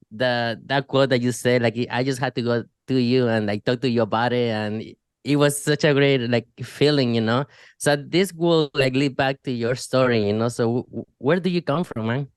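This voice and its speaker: A man with a smooth, clear voice and a dry, close sound, co-hosting a podcast. He talks casually and loosely, with lots of "like" fillers. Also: medium pitch, no echo. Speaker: podcast co-host. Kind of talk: casual interview chat.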